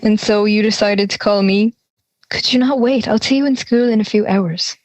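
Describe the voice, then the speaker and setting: A woman's voice: medium pitch, normal speed, a little mellow, no echo, natural voice, low-quality recording. A young woman playing an anxious, exasperated teenage schoolgirl in conversational audio drama dialogue. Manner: exasperated, worried. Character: anxious schoolgirl dreading a class presentation.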